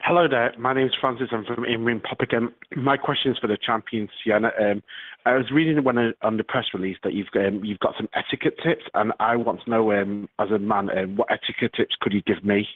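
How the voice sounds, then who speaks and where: A man's voice: fairly low pitch, steady tone, a little fast. A man working as a reporter, asking a polite, hesitant question on a press call. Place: telephone conference call. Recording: tinny phone line.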